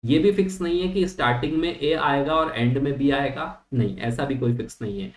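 A man's voice: medium pitch, a little fast. A young man teaching an online class in mixed with terms, patiently reassuring students and checking that they follow. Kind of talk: online lecture at a digital whiteboard. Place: small room indoors. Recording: roomy echo.

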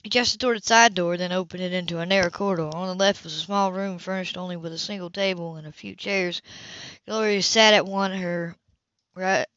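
A woman's voice: on the low side, steady tone, a little fast, a bit bright, dull audio, a little hiss or hum. A woman reading a novel aloud as an amateur audiobook narrator, occasionally stumbling and correcting herself, on a home recording.

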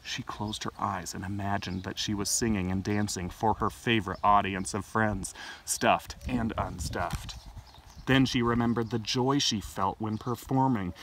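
A man's voice: on the low side, steady tone, a little slow, a bit bright. A middle-aged man reading a picture book expressively to children as a storytime host, recorded outdoors. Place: outdoors in a garden. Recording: distant mic, faint outdoor noise.

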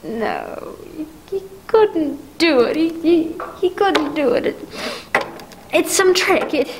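Frantic, haughty housewife played by a young woman, very high swooping voice, stammering melodrama, noisy amateur stage recording. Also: sing-song, slow pace, heavily acted. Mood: despairing. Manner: breathless, stammering, indignant and panicky. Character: frantic, imperious, nervous invalid wife on the telephone. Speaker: amateur actor in a stage adaptation of a radio play. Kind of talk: 1940s radio melodrama acting. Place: dark stage, indoors.

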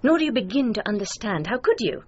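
A woman's voice: on the high side, sing-song, quick pace, darkish tone. An actress playing a proud, grieving aristocratic mother with an upper-class English accent, speaking formally and imperiously in a radio drama. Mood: indignant. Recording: dull, noisy radio drama recording.

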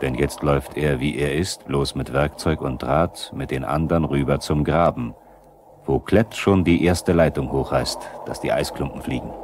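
A man's voice: rumbling low, normal speed. A man acting as a sober, probing narrator in a radio play, on a noisy 1970s recording. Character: sober probing male narrator who challenges the workers. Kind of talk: scripted radio play narration, questioning the characters.

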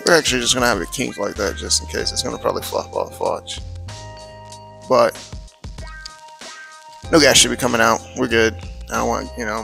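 Gravelly American man vlogging, thinking aloud. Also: mid-range voice, bright, forceful tone. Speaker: motorcycle vlogger. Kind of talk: casual vlog narration while working.

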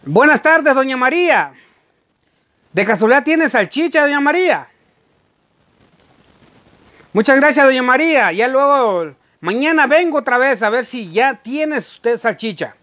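A man's voice: very high-pitched, animated, measured pace, long pauses, bright, forceful tone, pitched above the performer's own voice, full character voice. A man playing a polite market customer speaking courteously to an older lady, in a vlog skit. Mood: polite, friendly.

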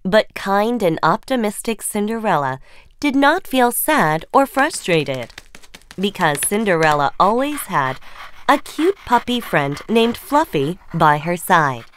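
A woman's voice: medium pitch, sing-song, a little slow, slight background noise. A woman narrating a children's fairy tale as a scripted storyteller. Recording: music under the voice.

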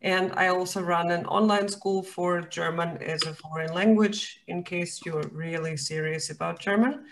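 A woman's voice: lowish voice, fairly even intonation, normal speed, hardly any pauses. Confident Russian-native woman teacher. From Latvia. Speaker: YouTube German teacher. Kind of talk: self-introduction on a video call. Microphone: webcam on a video call.

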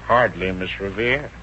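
A man's voice: lowish voice, gravelly, pushed and bright, full character voice. A man playing a genial, sly businessman with a courtly, chuckling manner in an old radio comedy. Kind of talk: 1950s American radio comedy acting. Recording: dull, hissy 1950s radio recording.

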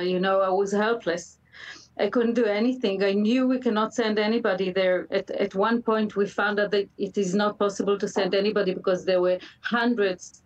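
A middle-aged woman with an Israeli accent gives an earnest interview over a video call. Her voice sits at a medium pitch, and she talks haltingly, repeating words for emphasis. She sounds a little way from the microphone. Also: distant mic, highs cut off. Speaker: interview guest, mother of a hostage. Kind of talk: emotional live TV interview. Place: indoor room, live remote video link. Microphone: computer video call.

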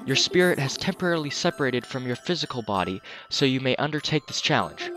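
A man's voice: mid-range voice, fairly bright tone. A man on a headset commenting on a video game, reading on-screen dialogue aloud with stumbles and jokes. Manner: joking, stumbles and restarts while reading. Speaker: let's play commentator. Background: game music.

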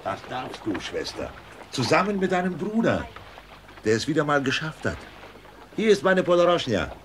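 An actor playing a calm, protective, secretive male courier in a radio play. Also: a little high, moderate pace, a little hiss or hum. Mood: reassuring. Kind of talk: German radio play acting.

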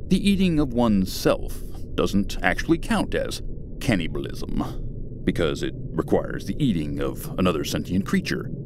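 Actor as wry pony newsman, deep, lively intonation, unflappable, comedy audio drama. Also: noisy background. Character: unflappable, drily teasing male pony news anchor. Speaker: news anchor.

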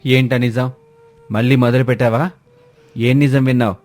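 A man's voice: medium pitch, little pitch movement, moderate pace, a little mellow, close to an everyday voice. A man voicing an angry young husband in a dramatised moral story, demanding answers with disbelief. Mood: angry, doubting. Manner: demanding, incredulous. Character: angry, stern young husband questioning his wife.

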